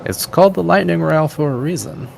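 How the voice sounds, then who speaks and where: A man's voice: on the high side, lively intonation, a little fast, vocal fry, a little mellow, slight background noise. A man acting as game master, narrating scenes descriptively for a tabletop game. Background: background music.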